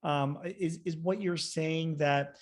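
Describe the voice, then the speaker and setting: Male podcast host, voice a little higher than average, conversational, halting as he works through questions. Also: fairly even intonation. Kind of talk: conversational interview.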